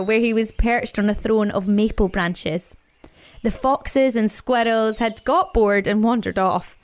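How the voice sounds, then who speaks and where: A woman narrating a children's story as an expressive storyteller. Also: fairly high pitch.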